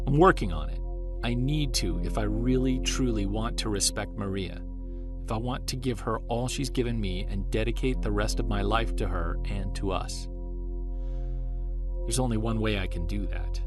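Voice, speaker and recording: A man playing an earnest, weary obsessive, speaking in a confiding, deliberate way, as in an audio-drama diary. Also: on the low side, natural voice. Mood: confessional, subdued. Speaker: narrator and lead of fiction podcast.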